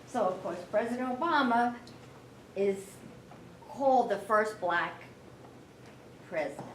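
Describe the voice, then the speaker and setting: A woman's voice: a little high, lively intonation, a little slow. A woman speaking bluntly and with strong opinions in a group discussion. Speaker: forum participant. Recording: echoey room, distant mic, faint noise.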